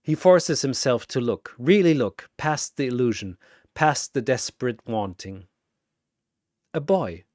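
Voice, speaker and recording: A narrator delivers dramatic scripted battle fiction. The pace is unhurried and the intonation lively and varied. The recording is dry and close. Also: mid-range voice, no echo.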